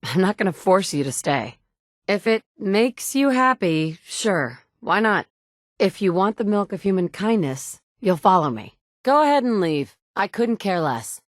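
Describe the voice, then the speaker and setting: A woman's voice: medium pitch, big pitch swings, unhurried, no echo, clean studio sound. An actress voicing a tough, blunt woman who survives the wasteland and leads others, delivering short game lines in a terse, firm way. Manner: terse and firm, often curt. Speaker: player character. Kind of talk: video game dialogue lines. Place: studio booth.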